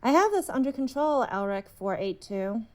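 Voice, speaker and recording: A woman's voice: a little high, sing-song, normal speed, darkish tone. A woman playing a manipulative alien creature in a human body, coaxing and controlling, in an audio drama. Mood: cold. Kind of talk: fiction podcast audio drama acting.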